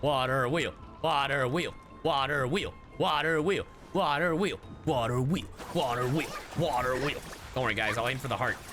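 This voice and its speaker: A man's voice: medium pitch, normal speed, a bit bright. A man streaming a game live, commenting playfully and theatrically, often exclaiming and repeating himself. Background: game music.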